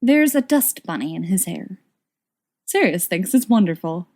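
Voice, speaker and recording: A woman reading a story aloud as an expressive scripted narration. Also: on the low side, unhurried. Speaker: audiobook reader of fan fiction.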